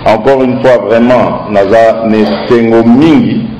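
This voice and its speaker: A man's voice: medium pitch, steady tone, slow pace. A man from the Democratic Republic of the Congo giving an emphatic public speech to a crowd. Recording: echoey crowd recording. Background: loud crowd.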